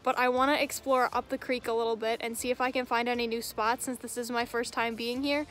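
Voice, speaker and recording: Young adult woman, outdoor vlogger, slightly high-pitched, casual chat to a handheld camera. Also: little pitch movement, a little fast, fairly bright tone. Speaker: vlogger and angler. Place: outdoors at a creek bank. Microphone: handheld camera at arm's length.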